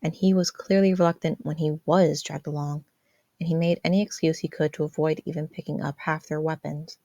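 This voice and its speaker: A woman's voice: low voice, dark tone, dry recording. A woman reading scripted fan fiction narration as a podfic narrator.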